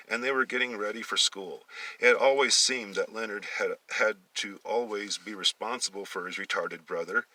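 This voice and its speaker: Forceful, bright-voiced man reading a story aloud. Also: fairly low pitch, recorded from a distance, poor audio. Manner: halting, with misreadings and repeated phrases. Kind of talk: amateur dramatic story reading.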